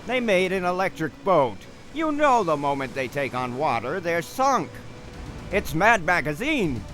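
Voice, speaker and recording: A man's voice: a little high, big pitch swings, measured pace, a bit bright, soft background music. A man playing a blustering, easily alarmed pirate captain with theatrical pirate talk in a comedy skit.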